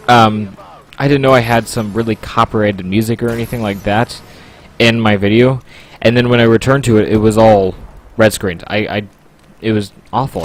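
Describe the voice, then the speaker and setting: A man's voice: lowish voice, slight background noise. Rambling, chatty male streamer. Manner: rambling, chatty, with frequent ums. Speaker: livestreamer. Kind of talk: casual livestream chat.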